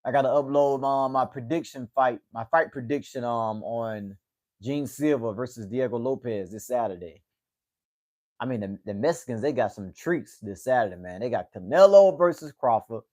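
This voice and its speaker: Young man, casual livestream chat, rambling, repeats himself, mid-pitched voice. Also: moderate pace, darkish tone. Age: 20s. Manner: rambling, repeats words, says "man" a lot. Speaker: livestream host.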